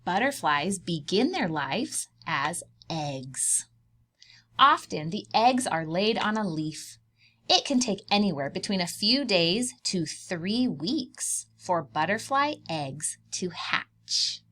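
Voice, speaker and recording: Lady preschool teacher doing a read-aloud for little kids, really up and down voice, takes it slow, simple words and questions. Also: on the low side, big pitch swings, a bit bright. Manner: simple child-directed talk, asking questions and drawing out key words. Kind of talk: read-aloud to young children with simple explanations.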